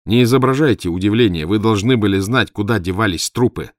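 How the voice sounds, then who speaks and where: A man's voice: on the low side, fairly quick, dry recording, clean studio sound, lightly acted. A man voicing a stern young male private detective pressing a suspect. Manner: stern, interrogating.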